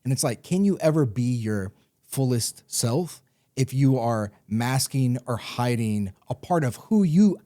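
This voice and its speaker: Reflective young man with a mellow tone. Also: medium pitch, lively intonation, a little slow. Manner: thoughtful, reflective, with fillers and restarts. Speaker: podcast co-host. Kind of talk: casual chat.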